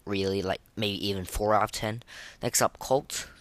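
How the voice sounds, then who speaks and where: Low-pitched man, halting casual podcaster. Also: steady tone, no echo. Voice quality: voice that cracks now and then. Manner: halting, repetitive, informal delivery. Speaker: podcast host. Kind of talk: casual unscripted gaming podcast.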